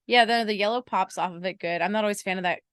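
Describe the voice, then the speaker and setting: A woman's voice: mid-range voice, rapid-fire, a bit bright, clear, resonant tone, no echo, clean studio sound. A young woman co-hosting a sports podcast, chatty and enthusiastic with playful teasing. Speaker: podcast co-host. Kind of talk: casual sports podcast chat.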